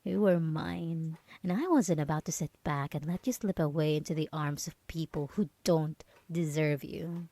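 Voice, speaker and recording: A woman's voice: low-pitched, normal speed, hardly any pauses, dark tone, no echo. A woman playing a possessive, mocking yandere roommate in an ASMR roleplay, teasing and condescending as she lingers on her words. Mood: possessive, wounded. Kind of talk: dramatic ASMR roleplay monologue.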